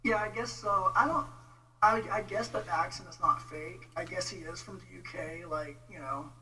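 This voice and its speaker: Bright-voiced Texan man, distant mic. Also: sits high, fairly even intonation. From Texas, United States. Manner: informal, halting, exasperated. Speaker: vlogger, religious debate commentator. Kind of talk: casual livestream rant replying to viewer comments. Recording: distant mic with faint noise and hum.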